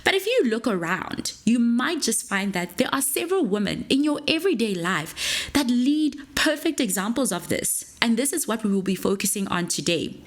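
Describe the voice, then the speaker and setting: Female host, higher, animated voice, scripted intro, faint music underneath. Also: normal speed.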